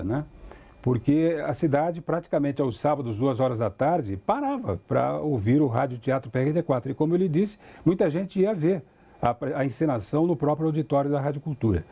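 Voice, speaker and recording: A man's voice: medium pitch, mellow, breathy edge. A man with a Brazilian accent reminiscing in an interview, choosing formal, articulate wording and often adding little tag questions. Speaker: documentary interviewee.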